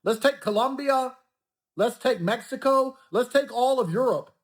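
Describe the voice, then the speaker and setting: A man's voice: very high-pitched, measured pace. A man ranting crudely and aggressively on a podcast clip. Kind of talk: podcast rant.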